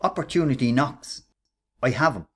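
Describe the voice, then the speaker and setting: A man's voice: mid-range voice, moderate pace, breathy edge, close to an everyday voice. An actor playing a scheming, menacing Irish workman and ringleader in a radio play, with a taunting, sarcastic, bossy manner. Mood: scheming, assured.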